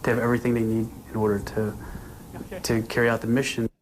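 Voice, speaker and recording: A man in his forties, born in Vietnam, a naval commander giving a news interview in an earnest, halting way with frequent restarts. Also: on the low side, level tone, a little hiss or hum, rough recording.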